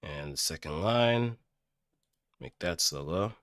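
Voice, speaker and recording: A man's voice: low voice, animated, takes it slowly, a bit bright, dry recording, studio quality. A man chatting casually and self-critically to himself while practicing voice acting on stream. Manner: self-critical, thinking aloud. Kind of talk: casual self-directed chat.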